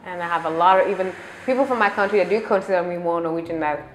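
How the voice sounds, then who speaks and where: A woman's voice: on the low side, fast talker. A woman speaking openly and plainly in an interview. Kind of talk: interview talk. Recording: roomy echo.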